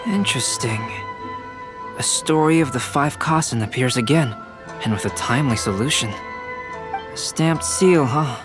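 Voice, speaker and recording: A man's voice: medium pitch, expressive, natural voice. Composed, pensive young scholar played by a man. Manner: measured, pensive, precise. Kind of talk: anime game voice acting. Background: music.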